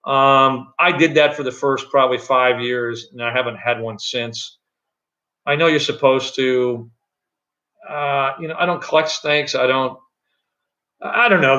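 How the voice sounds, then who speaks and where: A middle-aged man with a loud voice, chatting loosely on a live stream from an echoey room at home, with many fillers and restarts. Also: mid-range voice, muffled recording. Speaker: channel host. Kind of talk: casual live-stream chat.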